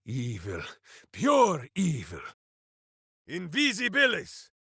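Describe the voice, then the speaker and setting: Big goofy warrior hero for a fantasy game, super animated swoops, slow grand delivery, boisterous n earnest. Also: male voice, fairly high pitch, creaky, slightly rough, no echo, clean studio sound, full character voice. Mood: solemn, then shouted incantation. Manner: boisterous, earnest, grandiose, speaks of himself in third person. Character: big, hearty, simple-hearted heroic warrior devoted to his hamster. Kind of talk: theatrical fantasy video game character acting.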